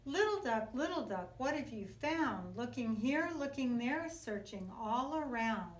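A middle-aged woman reads a picture book to young children with a very lively, sing-song delivery. She takes her time over each phrase, like a teacher telling a story. The room echoes around her voice, picked up from a short distance. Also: on the high side, a little slow. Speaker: kindergarten teacher. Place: indoor classroom. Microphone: camera a few feet away. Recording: roomy echo, distant microphone.

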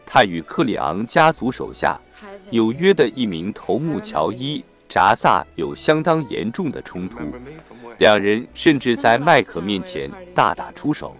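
A man narrates a prepared plot recap. His voice sits on the low side. The intonation is very animated, rising and falling widely as he reads. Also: unhurried, soft background music.

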